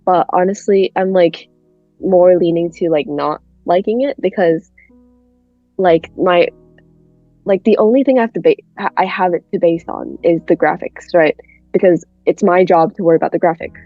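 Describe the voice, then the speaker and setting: A woman's voice: on the low side, normal speed, dry recording, faint music behind. A woman chatting casually as a panel guest, hesitant and leaning on filler words, over a lo-fi voice chat.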